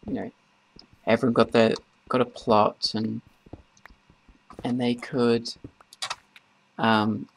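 A man giving casual, rambling gameplay commentary in a halting way as host of a gaming video. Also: medium pitch, gravelly. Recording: noisy, keyboard and mouse clicks.

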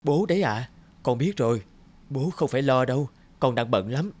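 A brisk, impatient young career woman. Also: rumbling low, animated, heavy background noise. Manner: brisk, curt. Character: busy, impatient young woman fashion designer, dutiful daughter. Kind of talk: cartoon dialogue acting.

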